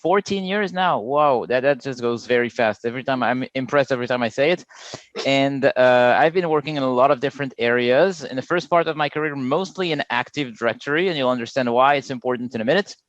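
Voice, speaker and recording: A middle-aged Frenchman speaks in easy, filler-laden explanation, his accent clear through the chat. The sound is dry and close, with a slightly muffled, lo-fi edge from a remote call mic. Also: medium pitch, fairly quick, no room sound. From France. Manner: explanatory, relaxed, many "uh" fillers. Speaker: guest product manager. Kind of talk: casual podcast chat.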